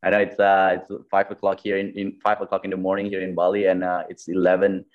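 Deep-voiced male podcast host, lo-fi. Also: completely flat delivery, fast talker. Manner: friendly, hesitant with fillers. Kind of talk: conversational interview. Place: Bali.

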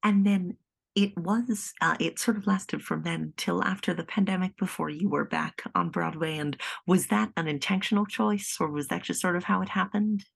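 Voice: medium pitch, moderate pace, creaky, fairly bright tone, breathy edge. A podcast host asking long, winding questions in an interview.

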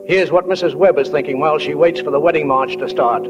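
Man playing a high-voiced, folksy small-town narrator. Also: fast, heavy background noise, lightly acted. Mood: folksy musing. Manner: homespun, plainspoken. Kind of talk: 1940s radio drama narration. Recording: dull 1940 radio recording, faint music.

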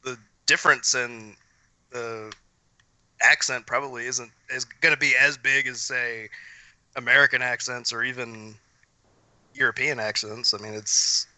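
A man with a very bright, sharp voice at a medium pitch, close and dry on the mic. He hosts a casual chat, candid and rambling. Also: fairly even intonation, unhurried, dry recording, low-quality recording. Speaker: podcast host.

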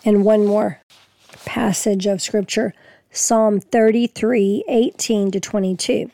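Woman Bible teacher, hoarse and folksy, reads verses slowly, gravelly voice. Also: mid-range voice, fairly even intonation, warm tone, no echo. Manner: folksy, reads scripture slowly then explains plainly. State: losing her voice. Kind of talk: casual Bible teaching with scripture reading.

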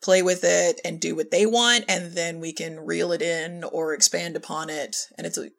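A woman talks in a fairly low register with clear vocal fry. Her delivery is conversational and explanatory, and she stresses her points as a guest in a casual discussion. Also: fairly even intonation, normal speed, fairly bright tone. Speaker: podcast guest, director and former filmmaking teacher.

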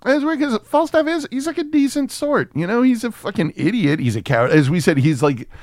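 A man's voice: high voice, lively intonation, a little fast, darkish tone, no echo, clean studio sound. A man co-hosting a film podcast, chatting casually and comedically in a profane, jokey, rambling way.

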